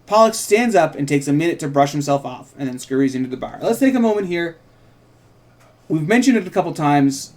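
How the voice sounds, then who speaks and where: A man's voice: on the high side, expressive, fairly quick, darkish tone. A man co-hosting an informal table read, reading stage directions and breaking off into rambling, self-interrupting asides. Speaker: podcast host and co-writer reading stage directions of his own script.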